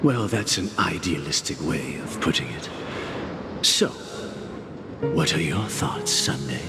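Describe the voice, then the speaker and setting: Kindly older storyteller, measured slow pace, gentle guidance, game cutscene with loud music behind. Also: male voice, a bass voice, expressive, heavily acted. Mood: benevolent. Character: kindly older mentor telling children a fable. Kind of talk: scripted game story acting.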